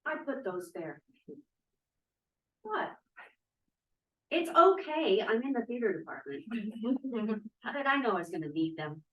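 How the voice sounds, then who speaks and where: A woman's voice: a little high, sing-song, a little slow, long pauses. A woman playing a defiant, free-spirited drama student of twenty-two in a play table read, arguing emphatically and theatrically. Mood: tipsy, rueful. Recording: roomy, echoey, distant microphone.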